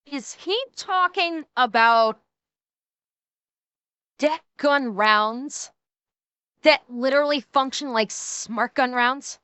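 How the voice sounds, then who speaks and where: A woman's voice: high-pitched, slow pace, pauses often, bright, forceful tone, clean tone, dry recording. A woman giving emphatic, interjecting live-stream reaction commentary, sometimes in a mock-commanding way. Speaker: reactor commenting on a comedy video.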